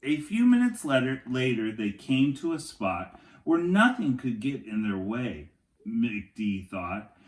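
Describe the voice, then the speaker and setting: Animated man reading slowly to children, roomy sound. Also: mid-range voice. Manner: animated, dramatic storytelling for young children. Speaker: teacher reading a picture book aloud to children. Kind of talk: expressive children's read-aloud with chatty asides.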